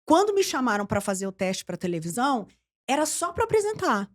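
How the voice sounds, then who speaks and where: A woman's voice: fairly high pitch, a little fast. A middle-aged woman from Brazil, a journalist and TV presenter, telling career stories in an interview and acting out the dialogue. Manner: lively storyteller, acts out quoted dialogue. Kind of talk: storytelling interview chat.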